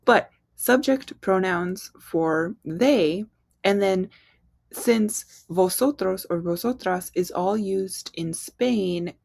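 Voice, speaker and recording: A young woman explains Spanish pronouns in a relaxed, chatty way, full of fillers and little asides. Her voice sits at an ordinary middle pitch. The sound is close and dry, with no room around it. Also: slow pace, vocal fry, dry recording. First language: English. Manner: chatty, uses fillers, quiz-style prompts. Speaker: Spanish teacher. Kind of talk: casual online language lesson.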